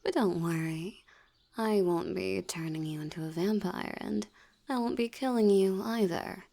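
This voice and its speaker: A woman's voice: medium pitch, a little slow, vocal fry, warm tone, slight background noise. A woman voicing a seductive, teasing female vampire in an ASMR audio roleplay, often dropping into a whisper.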